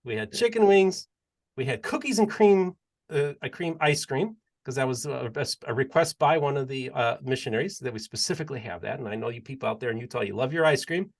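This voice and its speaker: A man's voice: mid-range voice, expressive, a little fast, creaky. A man hosting a podcast interview, chatty and leading the talk with long, rambling questions. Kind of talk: casual interview chat.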